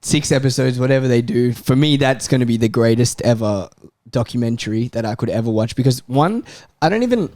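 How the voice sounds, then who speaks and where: A man's voice: mid-range voice, lively intonation, a little fast, few pauses, mellow, no echo, clean studio sound. A man on a football panel bantering casually, blunt and emphatic.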